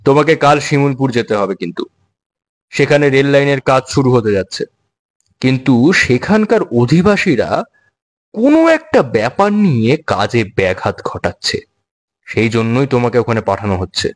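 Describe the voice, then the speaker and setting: A man playing a brisk, talkative office boss giving instructions in a dramatized audio story. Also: medium pitch, big pitch swings, natural voice. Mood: businesslike, persuasive.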